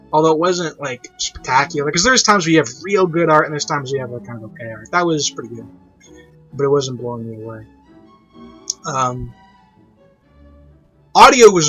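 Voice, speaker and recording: A man's voice: fairly high pitch, sharp tone, husky. A man hosting a casual, unscripted review, rambling and repeating himself to make his point. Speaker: review show host, online reviewer. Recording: dull lo-fi mic, music behind. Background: orchestral and piano classical music.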